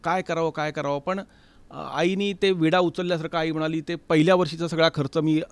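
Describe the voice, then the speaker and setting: A man's voice: fairly high pitch, steady tone, a little fast, smooth. A middle-aged man telling stories conversationally as an interview guest, repeating words and slipping into Hindi and English. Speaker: interview guest, tabla player and festival organiser. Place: recording studio.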